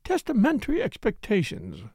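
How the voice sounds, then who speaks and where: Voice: medium pitch, huge pitch swings, normal speed, creaky, darkish tone, husky, no room sound, clean studio sound, voice pushed up, heavily acted. A reader voicing a fussy, tearful lady who talks in a fluttery, rambling, self-pitying way. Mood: wheedling. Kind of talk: audiobook character reading.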